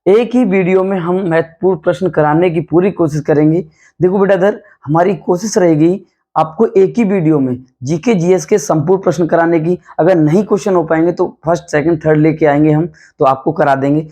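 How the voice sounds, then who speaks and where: A man with a hoarse voice, a coaching teacher running a quick quiz-style revision lesson, calling his students "beta" and repeating each question and answer. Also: a little high, mellow. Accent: Hindi. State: hoarse from night classes.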